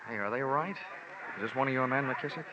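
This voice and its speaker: An actor playing a wisecracking, hard-boiled insurance investigator in a clipped, wry old-time radio style. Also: male voice, medium pitch, fast, noisy background, natural voice. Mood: urgent. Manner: wry, clipped, slangy delivery. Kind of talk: old-time radio detective acting with first-person narration. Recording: dull old radio recording, music behind.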